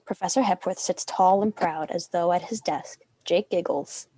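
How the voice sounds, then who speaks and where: A woman's voice: on the low side, normal speed, dark tone. A woman delivering scripted narration, reading stage directions.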